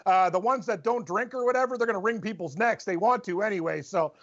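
A man co-hosting a casual sports talk show, rambling and joking in animated asides. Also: high-pitched, fast talker. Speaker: sports podcast co-host. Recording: dull lo-fi sound.

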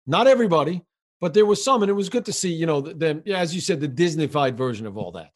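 Man, podcast host, rapid talker, higher voice, eager questions. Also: animated. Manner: eager, quick back-and-forth questions. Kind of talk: podcast conversation.

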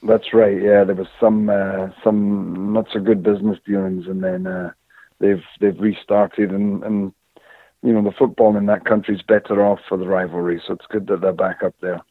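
A man's voice: fairly low pitch, level tone, moderate pace, no echo. A man from Scotland, raised in northern England, recalling his football youth as an interview guest, talking hesitantly with many fillers and restarts. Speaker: guest, former football player. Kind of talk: casual interview, storytelling. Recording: dry phone-line audio.

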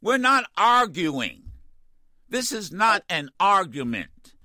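Exasperated high-voiced man ranting on radio. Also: slow pace, no room sound, clean studio sound. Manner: animated, exasperated, many stammered restarts. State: losing his voice. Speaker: talk radio host. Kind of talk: opinionated talk radio rant.

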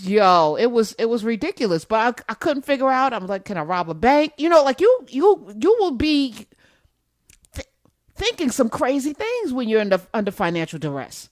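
A woman's voice: fairly high pitch, very animated, normal speed, dry recording, clean studio sound. A woman hosting a call-in radio show, casually bantering and teasingly questioning callers. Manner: quizzical, teasing, pokes holes in callers' stories.

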